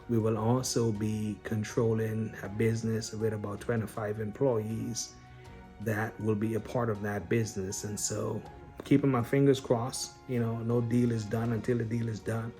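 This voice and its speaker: A man's voice: fairly low pitch, darkish tone. A man from Guyana talking casually to his viewers about the businesses he runs, unscripted, with frequent fillers and restarts. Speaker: real estate investor and business owner. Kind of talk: casual explanatory vlog talk.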